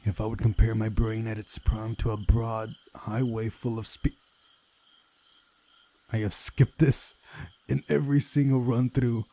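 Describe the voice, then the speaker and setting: Slow-paced, low-voiced man over music. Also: flat delivery, dark tone. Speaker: host of a game playthrough. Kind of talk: casual playthrough chat and read-aloud narration of a visual novel. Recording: music playing behind the voice. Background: game music.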